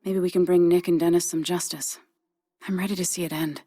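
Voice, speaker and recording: A woman's voice: fairly low pitch, little pitch movement, fairly quick, warm tone, breathy edge. A woman acting as a determined, anxious, no-nonsense ally with an American accent and an earnest, urgent manner in a video game. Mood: worried, impatient.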